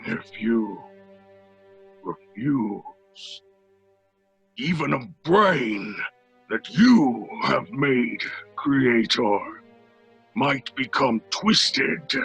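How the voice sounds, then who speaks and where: A desperate, menacing creature from an old-time radio horror play. The voice is rough and husky with a harsh, forceful edge. He drags his words out very slowly and haltingly. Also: mid-range voice, very animated, soft background music, exaggerated voice. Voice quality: gravelly, raspy. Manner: halting, drawn-out, swooping between whispers and cries. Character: man-made monster, desperate, pleading, menacing male creature.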